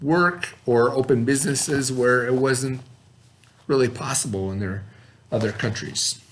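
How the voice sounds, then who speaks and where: A man's voice: medium pitch, measured pace, slight background noise. A man teaching a class, reading a story aloud and stopping to explain words and ask the children questions. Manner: explains and asks the class questions between passages.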